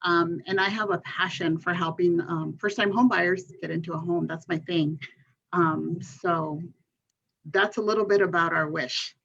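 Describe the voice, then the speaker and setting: A woman's voice: on the low side, fairly even intonation, a little slow, vocal fry, a bit bright. A woman from California's Central Valley introducing herself as a mortgage consultant on a panel, speaking steadily and earnestly with frequent um fillers. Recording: dull lo-fi sound.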